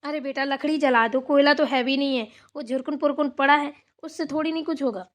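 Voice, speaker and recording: Woman voicing a high-voiced, bossy mother-in-law. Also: a little fast, lo-fi sound, lightly acted. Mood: chatty, content. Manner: homely, rambling, instructing. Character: bossy, chatty older village mother-in-law. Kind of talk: amateur Hindi family cartoon dialogue.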